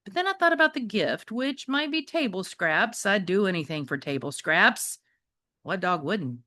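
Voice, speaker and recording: A woman's voice: mid-range voice, moderate pace, no room sound. Woman reading as a wistful, food-loving shelter dog. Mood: eager, hungry. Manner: expressive, conversational storytelling with rhetorical questions. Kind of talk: first-person children's novel read aloud.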